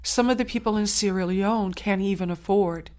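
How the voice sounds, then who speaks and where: A woman's voice: mid-range voice, darkish tone. Earnest woman, native Gullah speaker. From Saint Helena Island, South Carolina. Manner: earnest, heartfelt, with frequent pauses and restarts. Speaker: guest. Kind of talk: conversational interview storytelling.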